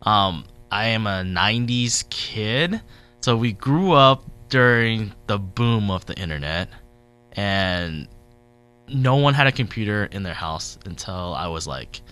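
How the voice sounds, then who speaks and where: Chatty bright-voiced man in his thirties. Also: on the low side, smooth. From born in Vietnam, raised in Southern California. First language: Vietnamese. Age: 30s. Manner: rambling, chatty, laughs easily, many fillers. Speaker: interview guest, technology consultant. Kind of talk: casual interview.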